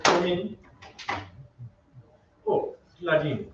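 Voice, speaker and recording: A man playing an opera maestro in a radio drama, courteous, formal and politely firm. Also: high-pitched. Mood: formal, stern. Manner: measured, courteous, authoritative. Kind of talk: old-time radio drama acting. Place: theatre stage, live reading. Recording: very echoey stage, distant, muffled, hiss.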